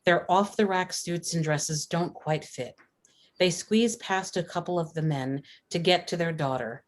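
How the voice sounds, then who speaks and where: Low-voiced woman reading screenplay narration. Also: measured pace. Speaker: stage-directions reader.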